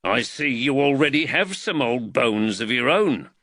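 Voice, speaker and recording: A man voicing a hearty, calm working-class constable in an audiobook, colloquial and blunt. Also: mid-range voice, dry recording, studio quality, pitched above the performer's own voice. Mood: disappointed. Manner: colloquial, unhurried, plain-spoken. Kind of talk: audiobook character dialogue.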